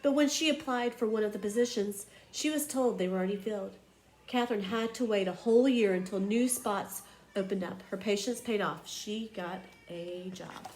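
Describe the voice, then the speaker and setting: A woman reading a children's picture book aloud like a teacher, with expressive, emphatic storytelling. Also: medium pitch, moderate pace, slight background noise, low-quality recording.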